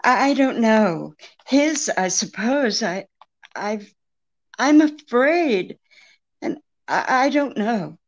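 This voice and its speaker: A woman playing a frightened, evasive femme fatale in a 1940s-style radio detective drama, speaking haltingly. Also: fairly high pitch, expressive, lo-fi sound. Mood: afraid, faltering. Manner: halting, hesitant.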